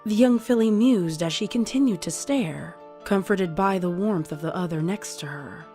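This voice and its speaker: A woman's voice: on the low side, normal speed. A woman reading scripted audiobook narration as the storyteller. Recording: music playing behind the voice. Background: soft music.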